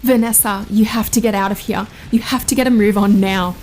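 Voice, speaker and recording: A woman's voice: mid-range voice, fairly even intonation, fairly quick, darkish tone, a little hiss or hum, natural voice. A young woman acting a distressed, urgent character in a disaster audio drama. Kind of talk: acted audio drama dialogue.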